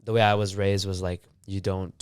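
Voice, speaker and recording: Smooth low-voiced young man, casual podcast chat. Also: normal speed, dry recording, studio quality. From Canada. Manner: relaxed, loose, heavy on fillers and swearing. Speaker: podcast co-host.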